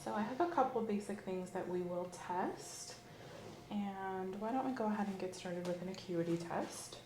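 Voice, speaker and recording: Woman, soft-spoken ASMR examiner who drifts into whispers; roomy recording with faint hiss. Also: mid-range voice, normal speed. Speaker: examiner in a roleplay. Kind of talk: ASMR medical exam roleplay.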